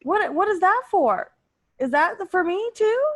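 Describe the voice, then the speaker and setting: A woman's voice: very high-pitched, big pitch swings, unhurried, dry recording, pitched above the performer's own voice, full character voice. A woman playing an exasperated, suspicious girlfriend with an incredulous manner in an improvised comedy sketch. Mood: exasperated, uneasy. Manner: incredulous, hesitant repetition. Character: exasperated, suspicious girlfriend nagging her partner.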